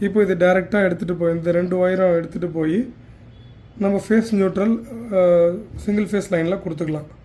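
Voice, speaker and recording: A man's voice: sits high, fairly even intonation, fast talker, mellow. A man giving a plain step-by-step product demonstration, mixing in some English technical words. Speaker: product demonstrator for a heater supplier. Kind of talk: hands-on instructional demonstration. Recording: faint background noise.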